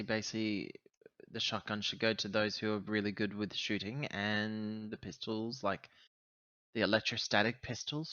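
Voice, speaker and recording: Bright-voiced man reasoning at the game table. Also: fairly low pitch, dull audio. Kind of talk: casual game-table chat.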